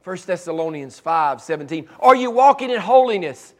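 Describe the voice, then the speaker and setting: A man preaching a sermon, his voice raspy from cheering at ball games and allergies, with a fervent way of building to emphatic, repeated lines. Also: sits high, lively intonation, moderate pace, fairly bright tone. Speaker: pastor.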